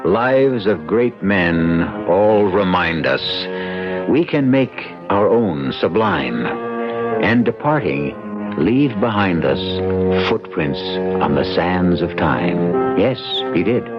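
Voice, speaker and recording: Deep, dramatic male radio mystery host. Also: very animated, music in the background. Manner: theatrical, rhetorical, deliberate phrasing. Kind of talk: old-time radio scripted narration. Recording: muffled vintage radio recording.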